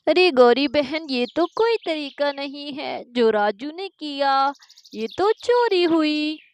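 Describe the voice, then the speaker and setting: Cartoon sparrow mum played by a woman: high, unhurried, concerned yet firm, music behind. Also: lively intonation, a little mellow, slight background noise, exaggerated voice. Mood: complaining. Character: reasonable, concerned mother sparrow standing up for her child.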